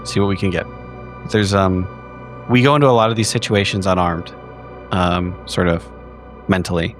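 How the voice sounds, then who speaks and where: A man with a low voice chats casually as a tabletop player. He lays out plans step by step. Soft music sits behind him. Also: steady tone, moderate pace. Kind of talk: casual tabletop game talk.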